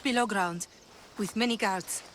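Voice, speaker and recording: A woman's voice: medium pitch, measured pace, fairly bright tone, slight background noise, close to an everyday voice. Actress as shaken, grateful captive woman. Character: frightened, grateful female captive. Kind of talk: dramatic video game dialogue. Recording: game soundtrack music behind the voice. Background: music.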